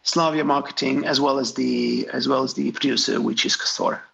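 Guy talking english, gravelly, lots of ums and false starts. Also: mid-range voice, fairly even intonation, moderate pace, a bit bright, highs cut off. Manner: hesitant, many fillers and restarts. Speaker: graphic designer, interview guest. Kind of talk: relaxed interview answers.

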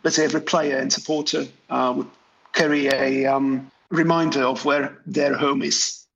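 Gravelly man, halting speech. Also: mid-range voice, level tone, a bit bright, dull audio, slight background noise. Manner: hesitant, many fillers and restarts. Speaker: graphic designer, interview guest. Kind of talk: relaxed interview answers.

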